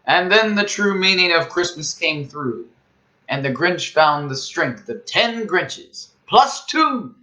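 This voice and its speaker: A man reading a rhyming children's storybook aloud as a storyteller, with theatrical, emphatic and measured phrasing. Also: a little high, sing-song, bright, forceful tone, rough recording.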